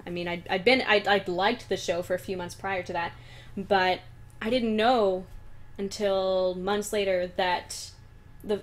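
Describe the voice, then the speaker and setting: A woman's voice: mid-range voice, a bit bright. Giggly, rambling young woman. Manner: giggly, rambling, many fillers. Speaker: guest voice actress and singer. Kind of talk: casual interview.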